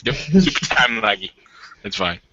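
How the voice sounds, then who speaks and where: Low-voiced man playing a tabletop game. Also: lively intonation, normal speed, sharp tone, dull audio. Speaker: roleplaying game player. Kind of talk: casual tabletop game chat.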